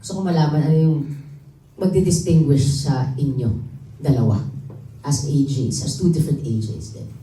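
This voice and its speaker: A woman's voice: deep voice, moderate pace, mellow. A Filipino woman hosting a press event in a casual, chatty, informal way. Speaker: press conference host. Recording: roomy, distant mic, faint noise.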